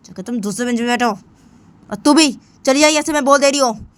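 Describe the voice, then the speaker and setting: A woman voicing Simran, an angry young female college student who shouts and orders someone away. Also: sits high, fast, dry recording, pitched above the performer's own voice, close to an everyday voice. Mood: furious. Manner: shouting, bossy.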